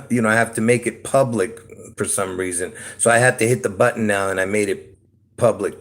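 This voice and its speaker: A man from Lebanon rambling through a solo livestream, often stopping to ask "okay?" or "all right?" and chuckling between thoughts. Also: fairly low pitch. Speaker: YouTube livestreamer. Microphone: phone.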